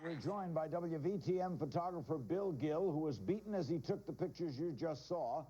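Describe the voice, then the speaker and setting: A man's voice: a little high, lively intonation, normal speed. An American man introducing a guest as a television news interviewer. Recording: dull broadcast copy, faint noise.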